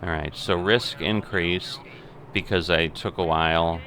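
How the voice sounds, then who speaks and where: A middle-aged man giving unscripted simulation game commentary, thinking aloud in a methodical, halting way. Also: deep voice, fairly even intonation, bright, forceful tone. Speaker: gaming video host.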